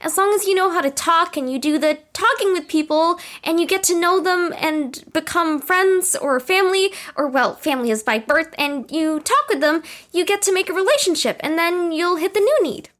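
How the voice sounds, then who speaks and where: An actress playing a naive, earnest young student in an audio drama, rambling in simple words. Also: female voice, extremely high pitch, normal speed, few pauses, fairly bright tone. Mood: earnest, unsure.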